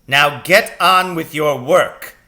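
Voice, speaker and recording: A man's voice: on the high side, slow pace, sharp tone, pitched above the performer's own voice, full character voice. A man voicing a stern, menacing schoolmaster and former army captain, barking curt commands in a storybook reading. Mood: harsh, commanding.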